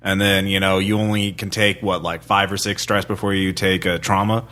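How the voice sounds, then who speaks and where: Low-voiced man in casual podcast chat. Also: little pitch movement, normal speed, pushed and bright. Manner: rambling, repeats phrases, swears casually. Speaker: podcast co-host and tabletop player.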